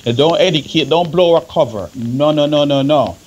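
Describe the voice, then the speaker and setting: A man's voice: a little high, normal speed, a little mellow, highs cut off, slight background noise. A man with a Jamaican accent explaining things emphatically in casual panel talk, repeating phrases for stress.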